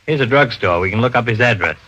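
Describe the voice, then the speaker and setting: An animated American man of middle pitch in a vintage radio mystery. He is an earnest, plain-spoken cop and loyal sidekick, his tone rising and falling freely. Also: fast talker, lo-fi sound, faint music behind. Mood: pondering. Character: earnest male police officer, the detective's loyal friend. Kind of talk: old-time radio drama acting. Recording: old radio broadcast recording.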